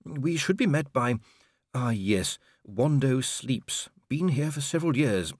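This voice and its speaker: A man voicing a fussy, prim male official who talks haltingly. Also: medium pitch, animated, slightly rough, dry recording, clean studio sound, pitched above the performer's own voice. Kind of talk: audiobook character voice.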